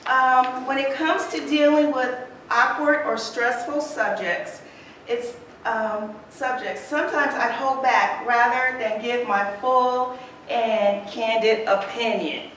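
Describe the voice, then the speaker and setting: A woman's voice: a little high, steady tone, slow pace, a bit bright. Upbeat woman facilitator in an echoey room. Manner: affirming, thanks each speaker. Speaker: workshop facilitator. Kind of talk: leading a group discussion. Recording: echoey room, from far off, background noise.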